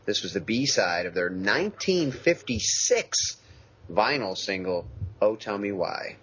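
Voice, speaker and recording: A man's voice: lowish voice, big pitch swings, a little slow, fairly bright tone, a little hiss or hum. A man co-hosting a podcast with dry, self-mocking humor. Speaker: podcast co-host. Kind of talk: casual chat. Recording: slightly muffled podcast audio.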